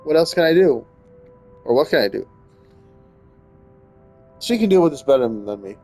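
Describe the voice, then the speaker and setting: A man's voice: mid-range voice, sing-song, normal speed, dark tone, soft background music. A man giving casual gameplay commentary and reading story text aloud, often stumbling and rereading. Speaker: gaming streamer.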